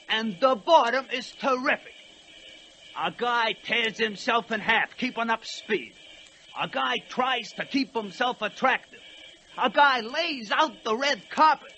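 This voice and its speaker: A man's voice: very high voice, pushed and bright, exaggerated voice. An actor playing a brash, combative American express train with slangy tough-guy talk in an old radio play. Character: brash, streetwise male American express locomotive. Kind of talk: 1940s radio play acting. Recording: hissy 1940s radio recording.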